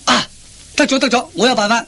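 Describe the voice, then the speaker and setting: A man's voice: extremely high pitch, fairly even intonation, fast talker, gravelly, pushed and bright, exaggerated voice. An actor playing a glib, self-assured male workmate who coaxes and schemes in a radio comedy. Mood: scheming, helpful. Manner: coaxing, glib, exclamatory. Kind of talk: Cantonese radio comedy acting.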